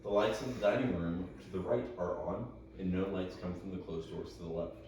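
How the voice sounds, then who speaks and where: A young man directing a script table read, talking casually in a halting, rambling way. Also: low-pitched, darkish tone. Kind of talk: casual explaining. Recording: roomy, echoey, distant mic with noise.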